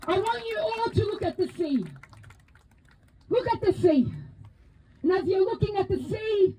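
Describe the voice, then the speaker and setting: A woman from Palestine, a native Arabic speaker, giving a loud, emphatic, impassioned speech as co-chair at an outdoor protest rally. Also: very high-pitched, expressive, a little slow, poor audio. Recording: outdoor rally, crowd and faint noise.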